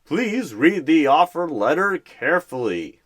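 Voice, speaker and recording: A man playing a boastful, over-excited job recruiter who announces interview lines like a game show host in a roleplay. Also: a little high, a little slow, pitched above the performer's own voice, exaggerated voice. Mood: gleeful announcing.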